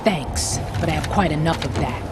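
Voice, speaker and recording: A woman's voice: deep voice, moderate pace, heavy background noise, lightly acted. An actress playing a weary, bitter female soldier in a video game, talking tiredly. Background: loud game score music.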